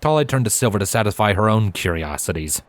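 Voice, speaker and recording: A man's voice: fairly low pitch, animated, quick pace, no echo. A man reading scripted story narration as the narrator.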